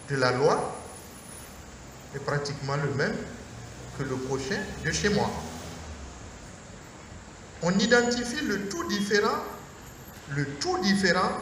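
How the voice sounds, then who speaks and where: Man priest, high voice, slow measured sermon phrasing, heard from afar in a reverberant hall. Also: a bit bright. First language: Mooré. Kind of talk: Lenten lecture sermon. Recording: echoey hall from far off, faint noise.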